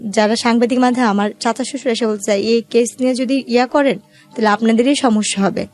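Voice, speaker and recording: A woman's voice: on the high side, steady tone, brisk, darkish tone. A woman with a Bangladeshi accent telling her own life story as an interview guest, in colloquial, conversational speech.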